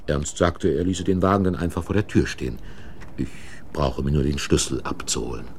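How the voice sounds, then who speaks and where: A shaken but determined office man in an old radio crime play, really deep voice, bit of hiss and noise. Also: normal speed. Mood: brooding, tense. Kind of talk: German radio crime play acting and first-person narration. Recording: noisy vintage radio play recording.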